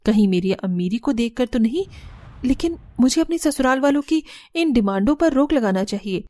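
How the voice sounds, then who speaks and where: Woman as a high-voiced, sensible young daughter-in-law. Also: animated, moderate pace, a little mellow, no room sound, natural voice. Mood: firm, reflective. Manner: earnest, reasoning. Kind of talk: Hindi cartoon story dialogue.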